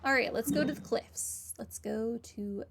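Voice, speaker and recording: A woman's voice: on the high side, measured pace, gravelly, heavy background noise. A woman giving casual, offhand commentary on a game playthrough, with wry asides. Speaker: gamer recording a playthrough.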